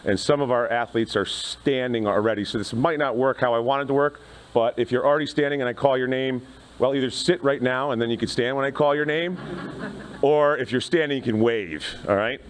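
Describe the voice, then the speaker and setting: A man with a loud voice, an athletics director announcing student awards into a podium microphone in a crowded meeting room. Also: medium pitch, fairly quick, a little hiss or hum. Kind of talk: public address at a board meeting.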